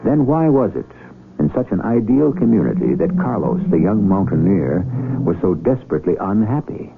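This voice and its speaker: A man hosting and narrating a radio drama in a theatrical, deliberate, scripted style. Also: low-pitched, sing-song, creaky, background noise. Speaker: host and narrator. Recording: tinny vintage radio broadcast. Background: loud music.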